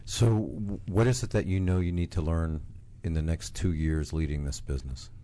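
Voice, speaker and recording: Brief: male interviewer, very low warm voice, direct probing questions. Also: fairly even intonation, moderate pace.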